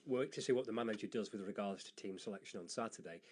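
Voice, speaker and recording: A man's voice: on the low side, racing, darkish tone, slightly rough. A man interviewing a footballer with short questions, sometimes stumbling and restarting his words. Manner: asks short questions, some slips and restarts. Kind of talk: sports interview.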